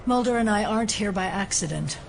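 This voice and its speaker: A woman's voice: mid-range voice, lively intonation, fairly quick, gravelly, a little hiss or hum. An actress in an audio drama playing an earnest, level-headed female federal agent who is also a doctor. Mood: composed, firm.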